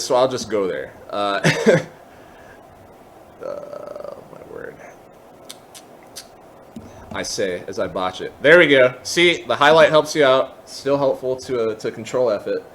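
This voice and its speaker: A man hosting a casual software walkthrough, explaining in an informal, lightly self-deprecating way. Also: medium pitch, big pitch swings, slow. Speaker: tutorial host.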